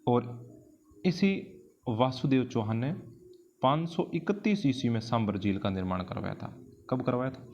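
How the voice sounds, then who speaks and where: A man's voice: medium pitch, normal speed, pauses often, darkish tone, lo-fi sound. A man with an Indian accent teaching an exam-prep lesson in question-and-answer form, didactically repeating key phrases for emphasis. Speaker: history teacher.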